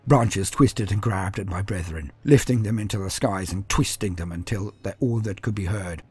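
A man's voice: on the low side, moderate pace, darkish tone, husky, faint music behind. A man voicing a grim armoured space marine who recounts battle in a solemn, martial, disdainful storytelling manner. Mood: grave, intense. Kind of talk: first-person dramatic storytelling.

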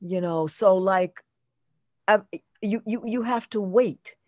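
An older woman, an actress being interviewed on a video call, reflecting conversationally, halting and repeating words for emphasis. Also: mid-range voice, a little mellow, dry recording. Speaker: actress guest.

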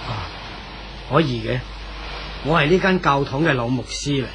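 A man playing a kindly, talkative old church pastor in a 1970s radio drama, with a Hong Kong Cantonese accent and a gentle, unhurried manner. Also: medium pitch, lively intonation, slight background noise, low-quality recording. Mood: kindly, helpful. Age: older.

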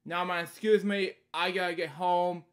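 Higher-voiced man reading a picture book aloud. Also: level tone, fairly bright tone. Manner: halting, repeating stock phrases between short read lines. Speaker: host of a bedtime story series. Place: small indoor room at home.

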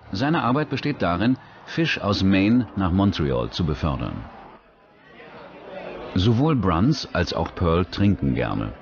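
Deep-voiced male documentary narrator. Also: dull audio. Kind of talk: scripted true-crime documentary narration. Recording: music bed behind the voice.

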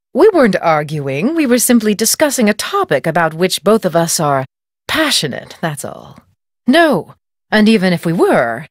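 A haughty woman writer from a video game, with a lowish voice that swoops expressively through every sentence. She is pompous and patronizing, speaking in polished, articulate phrases. The recording is dry and close. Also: no echo. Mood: prickly, defensive. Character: haughty, self-important woman novelist, patronizing and brisk. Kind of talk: video game character dialogue.

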